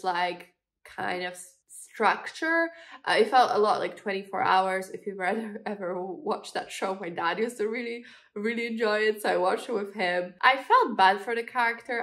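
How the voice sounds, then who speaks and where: A woman's voice: mid-range voice, a bit bright. Young woman host, stuffy cold voice, chatty with fillers, casual review talk. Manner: chatty, frequent fillers, laughs while talking. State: congested from a cold. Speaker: booktube host. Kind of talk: casual book review chat.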